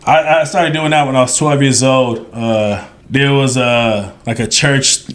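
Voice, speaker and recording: A man's voice: medium pitch, fairly even intonation, measured pace. A man in his thirties, a podcast guest, telling a story in a rambling way with many fillers and restarts. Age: 30s. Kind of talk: casual storytelling.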